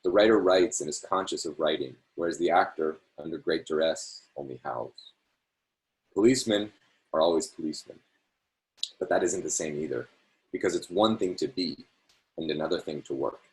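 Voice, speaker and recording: A man reading a literary essay aloud over a video call, in a measured, phrase-by-phrase delivery with small self-corrections. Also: a bass voice, poor audio. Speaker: reader hosting a reading series.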